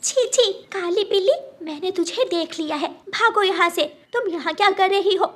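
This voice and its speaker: A woman's voice: extremely high pitch, normal speed, voice pushed up. A woman voicing a protective, worried mother bird, earnest and motherly, in a children's cartoon. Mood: scolding.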